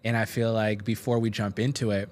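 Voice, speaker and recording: A man in his thirties hosting a casual faith podcast from a small studio, upbeat and quick to laugh. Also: lowish voice, level tone, darkish tone. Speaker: podcast host. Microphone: boom-arm studio mic.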